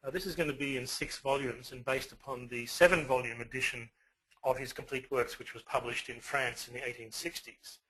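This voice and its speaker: An Australian man talks through an online lecture with a bright, slightly husky voice. He fills his pauses with many "uh"s as he goes. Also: medium pitch, steady tone, rough recording. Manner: hesitant, many fillers. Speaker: guest lecturer.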